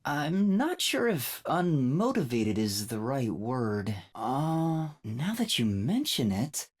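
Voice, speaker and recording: A man voicing a timid, earnest young male trainee detective in an anime-style video game, with a youthful, breathy voice and a polite, hesitant manner. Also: medium pitch, very animated, slow, no echo, heavily acted. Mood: unsure, hesitant.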